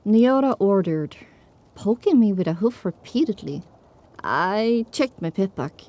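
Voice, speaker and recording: A woman's voice: medium pitch, expressive, a little slow, dark tone. A woman narrating an audio drama in the first person with a dramatic, scripted delivery.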